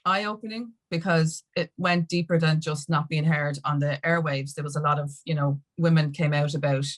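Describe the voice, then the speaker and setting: A woman's voice: low-pitched, steady tone, moderate pace. An Irish woman telling her story as a podcast guest in casual conversation, acting out what people said as she goes. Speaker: podcast guest, music industry activist.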